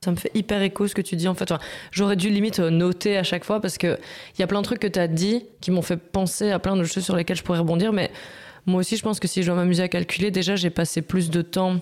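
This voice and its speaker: A woman's voice: on the low side, fairly even intonation, quick pace, darkish tone. A woman in her thirties talking as a podcast co-host in a casual, slangy and candid personal conversation. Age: 30s. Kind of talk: casual, confessional podcast chat.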